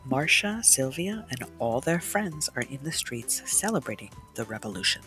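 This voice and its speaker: A woman reading a children's picture book aloud in an expressive, dramatic storytime style, pausing to explain the pictures. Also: a bass voice, faint music behind.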